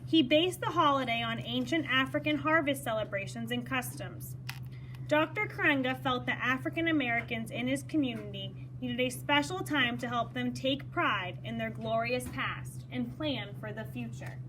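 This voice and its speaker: A woman reading a children's story aloud in an expressive, teacherly manner, pausing to explain words. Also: on the high side, noisy background.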